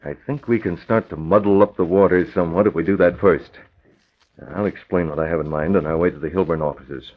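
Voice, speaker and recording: A methodical American masked crime-fighter in a vintage radio serial. The voice is very low and husky, calm and in command, on a dull recording. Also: male voice, fairly quick. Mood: decisive, calm. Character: commanding male masked vigilante, cool, methodical. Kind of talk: 1940s radio acting.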